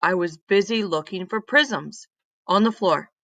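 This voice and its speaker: A woman reads aloud for young children in a bright, forward voice. She is expressive and instructive, as if guiding her listeners through each page, and the recording is close and dry. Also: medium pitch, a little slow, dry recording. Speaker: storyteller reading aloud to children. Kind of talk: children's story read-aloud.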